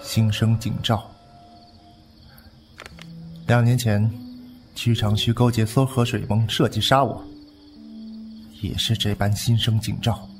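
一名男演员在武侠广播剧中饰演一位沉着忠诚而冷酷的剑客，说话庄重简洁。. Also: male voice, on the low side, flat delivery, over music. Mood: cold calm. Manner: grave, terse, measured. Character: composed, loyal, ruthless male swordsman. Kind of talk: Chinese wuxia audio drama acting.

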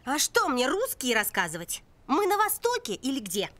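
A woman voicing a sassy female genie in an animated film, teasing and theatrical, lecturing like a teacher. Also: sits high, normal speed, background noise. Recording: loud music behind the voice.

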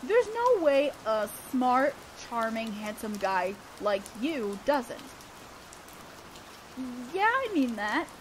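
A very high, slow-spoken voice of an awkward teenage girl, acted by a woman, in a romantic audio roleplay. She is earnest and easily flustered, halting shyly as she goes. A clear hiss like rain sits behind her. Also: very animated.

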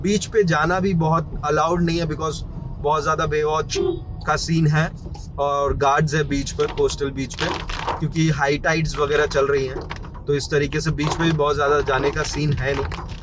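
A man's voice: a little high, level tone, moderate pace. A man in his thirties with an Indian accent, chatting casually and informally to camera as a travel vlogger, often repeating himself for emphasis. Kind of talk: casual vlog chat mixing Hindi and English. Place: inside a car and on a rainy roadside. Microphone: camera at arm's length or on dashboard. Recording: noisy vehicle and outdoor ambience.

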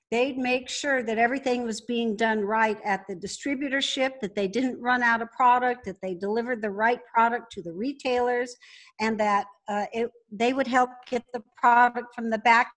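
High-voiced woman telling business stories. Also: a bit bright. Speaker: interview guest, wine company co-founder. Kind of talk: conversational business storytelling.

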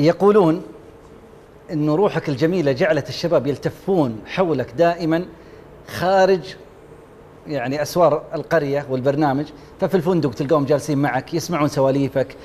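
A man's voice: a little high, fast talker, darkish tone, in a large hall, slight background noise. A man on a TV talent show panel, enthusiastically listing points and praising a contestant, on a lapel mic in a studio. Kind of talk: evaluating a contestant on live TV. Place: TV studio set.

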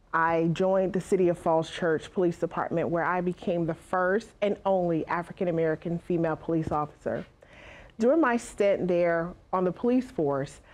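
A woman in her thirties, originally from South Carolina, talks straight to the camera about her work as a former officer. Her voice sits a little low and she speaks in a measured, earnest way. Recorded with a lapel mic on a studio stage. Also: a little mellow. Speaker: missing persons advocate, former police officer. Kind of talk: direct-to-camera personal talk.

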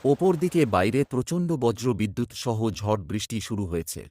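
Low-voiced man as a worried poor village son. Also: expressive, normal speed, dark tone. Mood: anxious, weary. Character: worried poor young village son narrating his hardship. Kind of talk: first-person scripted horror cartoon narration.

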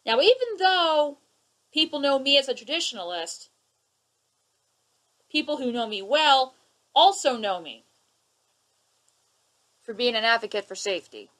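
A woman's voice: high voice, big pitch swings, measured pace, long pauses, fairly bright tone, low-quality recording. A young woman ranting at her webcam in her living room, indignant and sarcastic, waving her hands as she talks. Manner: indignant, sarcastic, gestures a lot. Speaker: vlogger. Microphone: webcam at close range.

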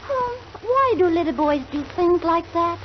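A woman's voice: very high voice, lively intonation, moderate pace. A woman playing a sweet, childlike rag doll girl who frets and exclaims in a 1940s children's radio play. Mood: eager, fretful. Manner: exclamatory, plaintive, gushing. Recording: muffled, noisy 1940s radio recording.

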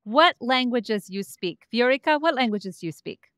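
A woman's voice: a little high, lively intonation, moderate pace, a bit bright, clean tone, no echo, clean studio sound. A middle-aged woman hosting a podcast, reading an introduction and then asking interview questions with enthusiasm. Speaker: podcast host.

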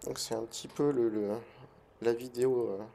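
A man with a head cold, giving relaxed, hesitant commentary over gameplay from a desk mic at home, with many fillers. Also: mid-range voice, normal speed, gravelly, heavy background noise. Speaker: gaming video host.

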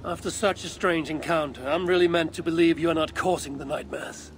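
A woman's voice: deep voice, fairly even intonation, brisk, slight background noise, natural voice. An actress playing a blunt, suspicious and proud Viking warrior woman in a video game. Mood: wary. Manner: blunt, curt, challenging. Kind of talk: video game cutscene acting. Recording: game audio with faint music. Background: game soundtrack music.

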